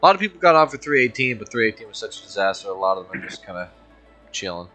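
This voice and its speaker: A man's voice: on the low side, very animated, very fast, bright, forceful tone, soft background music. A man streaming a space game, chatting casually in a laid-back, agreeable way. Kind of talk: casual gameplay stream chat.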